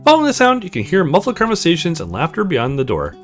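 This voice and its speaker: Guy reading a visual novel out loud for his channel, super animated, light guitar music behind. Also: high voice, quick pace. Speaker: gaming video host. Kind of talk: let's play narration, reading a story aloud. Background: soft guitar music.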